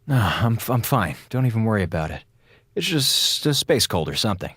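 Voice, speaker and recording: An actor playing a chatty, sarcastic space station communications officer with an American accent, logging theatrically in a radio drama. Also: male voice, lowish voice, sing-song, brisk, fairly bright tone, husky, no echo, studio quality, heavily acted. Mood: unwell, downplaying. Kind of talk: radio drama audio log monologue. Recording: music behind the voice.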